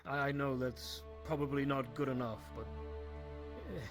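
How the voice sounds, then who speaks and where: An anxious, deferential military surgeon acted by a man talks in a medium-pitched voice. His delivery is polite and halting, in the manner of live fantasy roleplay. Music plays clearly behind him. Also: little pitch movement, natural voice. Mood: earnest, uneasy. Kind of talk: live improvised roleplay acting.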